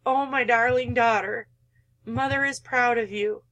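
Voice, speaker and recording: A woman's voice: on the high side, fairly even intonation, unhurried, fairly bright tone, poor audio. A woman voicing a cold, belittling, controlling mother in a visual novel, with a condescending, guilt-tripping manner. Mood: approving.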